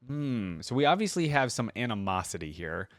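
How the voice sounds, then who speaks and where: A man's voice: medium pitch, sing-song, moderate pace, no room sound, soft background music. A man giving casual reaction commentary in a conversational way, thinking aloud as he goes. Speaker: YouTube reaction host.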